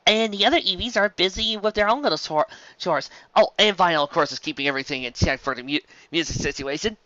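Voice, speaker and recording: A woman hosting and narrating a fan story, in an animated style. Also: on the low side, fast, no room sound, highs cut off. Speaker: host and story reader.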